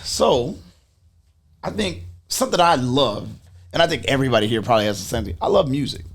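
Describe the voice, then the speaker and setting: Fast, gravelly, blunt man. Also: mid-range voice, animated. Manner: blunt, swears freely. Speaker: podcast host. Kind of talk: casual panel chat.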